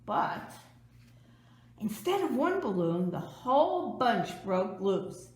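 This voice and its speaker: A woman reading a children's storybook aloud expressively, with dramatic emphasis and drawn-out words. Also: mid-range voice, sing-song, roomy sound. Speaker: storybook reader.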